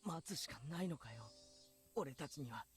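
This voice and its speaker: A man's voice: fairly high pitch, expressive, fast, lightly acted. A man playing a frustrated, remorseful teenage schoolboy in an anime visual novel, with a blunt, subdued manner.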